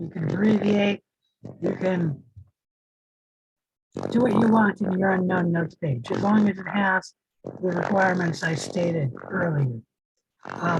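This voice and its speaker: A hoarse man teaching a lab class over a video call, halting with many fillers, his faulty microphone distorting his voice. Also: sits high, lo-fi sound, background noise. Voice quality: distorted mic that sounds like Darth Vader. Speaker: lab instructor. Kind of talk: online class lecture. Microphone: faulty computer mic on a video call.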